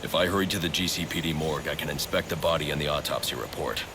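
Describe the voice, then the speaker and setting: An actor playing a grim, driven young masked vigilante in a video game, speaking tersely and gravely in a growling voice. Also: male voice, very deep voice, fast talker. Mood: grim, focused. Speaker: lead character, masked vigilante. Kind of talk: video game cutscene acting. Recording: loud vehicle and ambience noise behind.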